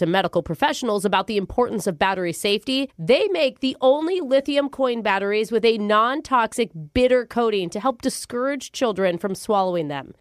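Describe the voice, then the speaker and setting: A female announcer reads a scripted radio spot in a fairly high voice. Her delivery is animated and varied in pitch, recorded very dry. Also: dry recording. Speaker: advertisement announcer.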